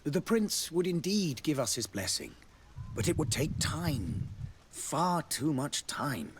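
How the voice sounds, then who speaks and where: A man's voice: fairly high pitch, very animated, slow, noisy background. A man playing a courtly, devout ambassador in a fantasy video game, speaking in a formal, florid, diplomatic way. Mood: confiding, grave. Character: courtly, devout male ambassador of a theocratic nation.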